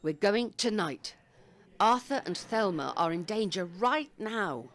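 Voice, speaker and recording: Bossy, animated older ringleader. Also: female voice, mid-range voice, measured pace. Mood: determined. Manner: decisive, impatient, commanding. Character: bossy, capable retired woman, sharp-witted care-home ringleader. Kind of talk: audio comedy drama acting.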